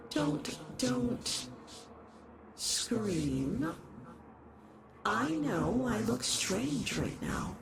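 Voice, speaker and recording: A performer voicing a lovesick shapeshifting horror in a roleplay monologue, doting and possessive, halting, with soft menace in the endearments. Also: medium pitch, vocal fry, noisy background, exaggerated voice. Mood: coaxing. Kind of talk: dramatic roleplay monologue addressed to the listener.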